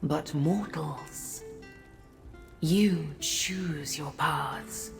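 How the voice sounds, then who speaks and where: An actress playing a melancholy, regal female prince of paths in a fantasy game, speaking in a solemn, formal, measured manner. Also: low voice, creaky, full character voice. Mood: wistful. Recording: long reverb over soft music.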